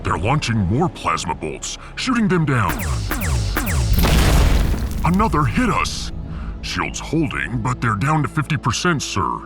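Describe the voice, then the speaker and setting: A man's voice: medium pitch, huge pitch swings, unhurried, long pauses, loud music behind the voice. A man playing a proud, battle-hungry barbarian starship gunner in a sci-fi audio drama, speaking formally and stoically. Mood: battle-focused.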